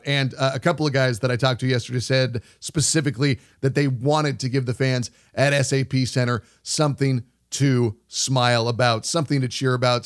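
A man hosting a sports radio show, giving commentary and conducting interviews. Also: medium pitch.

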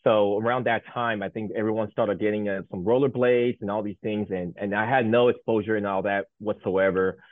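A man's voice: lowish voice, fairly even intonation, a little fast, hardly any pauses, no room sound. Man from Taiwan on a tinny line. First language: Mandarin. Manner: rambling, many fillers and restarts. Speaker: guest, Paralympic sled hockey goaltender. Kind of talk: casual interview chat.

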